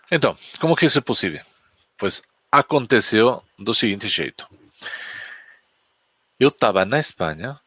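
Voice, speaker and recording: A man with a Spanish accent speaks in a fairly low voice, telling a story in an easy, chatty way. His native tongue is Spanish, and he teaches as if chatting with his viewers on a live stream. The sound is close and dry, with no room echo. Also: a bit bright. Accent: Spanish from Spain. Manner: chatty, anecdotal, asks the audience questions.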